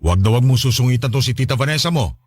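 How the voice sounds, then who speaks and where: A middle-aged Filipino father in a radio drama. His husky, mid-pitched voice is firm and instructing, recorded dry and close. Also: animated, quick pace, a little mellow, no echo. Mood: firm, parental.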